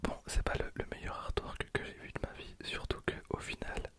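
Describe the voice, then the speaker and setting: A man whispers softly in an ASMR style, unhurried, repeating phrases slowly into a close microphone. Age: 20s. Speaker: ASMR video creator and host. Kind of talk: ASMR whispering, card pack opening commentary. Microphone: handheld recorder used as close ASMR microphone.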